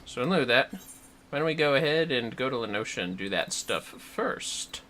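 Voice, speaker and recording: A man's voice: mid-range voice, animated, normal speed, bright, forceful tone, a little hiss or hum. A man hosting a gaming livestream with casual commentary, rambling a little as he plans aloud. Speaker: livestream host.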